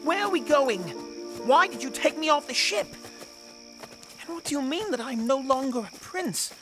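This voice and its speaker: This is a performer acting a young boy prince in an audio drama, spoiled and easily affronted. The delivery swings widely in pitch, haughty and incredulous, with music running underneath. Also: lively intonation, moderate pace. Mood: incredulous, complaining.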